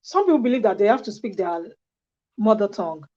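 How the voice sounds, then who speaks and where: A woman's voice: mid-range voice, big pitch swings, brisk, warm tone. A woman hosting an interview, speaking in a conversational way and correcting herself as she goes. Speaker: interviewer host. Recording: dull lo-fi sound.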